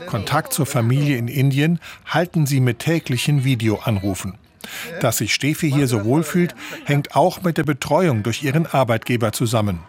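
A man's voice: mid-range voice, moderate pace, darkish tone. A man reading a scripted radio report as the reporter.

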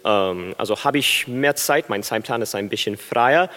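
A man's voice: fairly low pitch, sharp tone, long reverb tail. American man, hesitant learner's German. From USA. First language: English. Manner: hesitant, halting German with many fillers. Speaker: baritone music student. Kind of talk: live stage interview.

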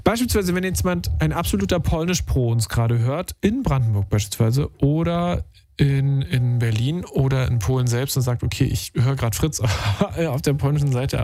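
A man's voice: medium pitch, gravelly, dark tone. A man hosting a radio call-in show, chatting casually in slangy, informal language.

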